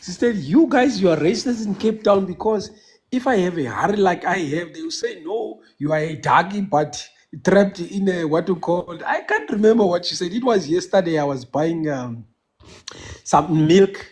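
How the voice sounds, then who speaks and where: A South African man whose first language is Xhosa, calling in to a casual live chat and talking haltingly, with many restarts and fillers. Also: high-pitched, animated, darkish tone. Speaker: caller.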